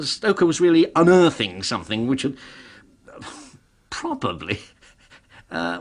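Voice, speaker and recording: A man commenting as a literary scholar, with hesitant, filler-laden phrasing. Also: medium pitch. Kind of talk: expert interview commentary.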